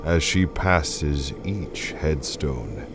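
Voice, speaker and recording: A man's voice: very deep voice, lively intonation, drawn-out words, music in the background. A man narrating a radio play in a dramatic, scripted style.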